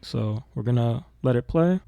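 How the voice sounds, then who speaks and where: A man explaining audio editing informally, thinking aloud as he works through a software tutorial. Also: mid-range voice, lively intonation, moderate pace, gravelly, loud music behind the voice.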